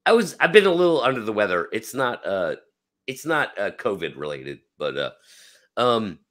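Hoarse man hosting a chatty video call. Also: lowish voice, moderate pace. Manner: animated, rambling, lots of fillers. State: hoarse, losing his voice. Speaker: host. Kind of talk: casual interview chat. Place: home room. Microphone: webcam on a video call.

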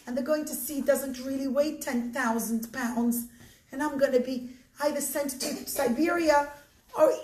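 Woman voicing an anxious, pleading merchant in echoey room. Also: sits high. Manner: fretful, pleading, exclamatory. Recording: roomy echo, faint noise.

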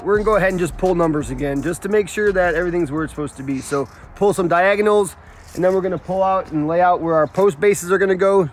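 A middle-aged man explaining construction steps casually, with slangy, joking banter, outdoors on a building site. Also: on the high side. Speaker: builder and video co-host. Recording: noisy outdoor site sound.

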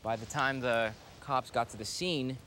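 A man playing a cocky, wisecracking guy who tells friends a crude, slangy tale with dramatic pauses. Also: medium pitch, expressive, a bit bright, a little hiss or hum, lightly acted. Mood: excited. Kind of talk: casual storytelling in a film-style drama. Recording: music behind the voice.

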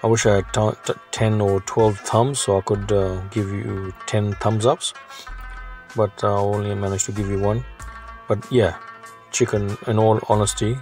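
A man's voice: on the low side, a little mellow. Chatty man reviewing food at a table. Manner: chatty, hesitant with many fillers. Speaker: food vlogger. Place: small restaurant indoors.

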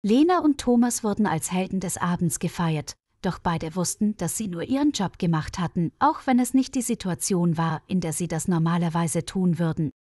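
A woman's voice: lowish voice, moderate pace, hardly any pauses, dark tone, no echo, studio quality. A woman reading a scripted story for language learners, enunciating carefully as narrator.

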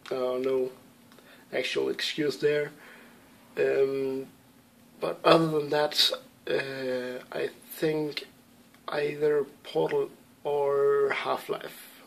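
A man's voice: medium pitch, vocal fry, fairly bright tone. A young man from Denmark with a Danish accent, English not his first language, answering viewer questions in a casual webcam vlog from a room at home, hesitant with many ums and restarts. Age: young adult. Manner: hesitant, lots of ums and self-corrections. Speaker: YouTube gamer. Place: small room at home, indoors.

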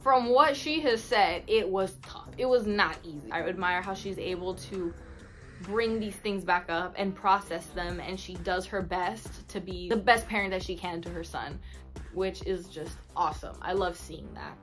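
A woman in her twenties, a native Spanish speaker, chatting casually to camera in an expressive, slangy way with Spanish words mixed in. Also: lowish voice, moderate pace. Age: 20s. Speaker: vlogger. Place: small bedroom indoors. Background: instrumental music.

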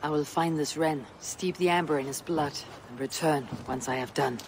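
A woman's voice: low voice, normal speed, slight background noise. An actress playing a stoic female Viking warrior in a video game, terse and resolute. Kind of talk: video game cutscene acting.

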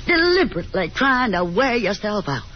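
A woman's voice: medium pitch, sing-song, moderate pace, close to an everyday voice. An actress playing an anxious, reproachful middle-aged mother in a theatrical 1950s radio drama manner. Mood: reproachful, distressed. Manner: theatrical, scolding. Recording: dull, noisy 1950s radio broadcast.

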